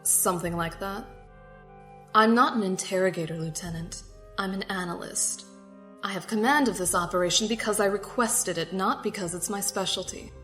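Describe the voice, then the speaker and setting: A woman acting in an audio drama as a controlled, condescending security captain with a formal, measured manner and polite menace. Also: mid-range voice, fairly bright tone, faint music behind, natural voice. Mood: smug, controlled.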